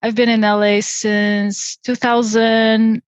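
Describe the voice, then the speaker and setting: A woman from Bulgaria speaking as a guest on an interview podcast, measured and polite. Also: a little high, little pitch movement, clean tone, no echo. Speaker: podcast guest, real estate investor. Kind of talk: interview answers. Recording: dry, dull lo-fi remote audio.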